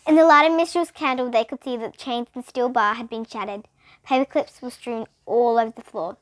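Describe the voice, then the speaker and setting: A female reader giving an expressive, dramatic read-aloud of a children's storybook. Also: a little high, fairly quick, dry recording. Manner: animated, dramatic reading. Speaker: reader of children's bedtime books.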